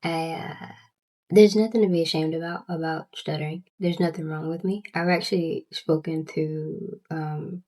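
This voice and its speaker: A young woman with a Southern US accent and a stutter, chatting casually in a book vlog with halting restarts and many fillers. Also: low voice, fairly even intonation, mellow. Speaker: booktuber.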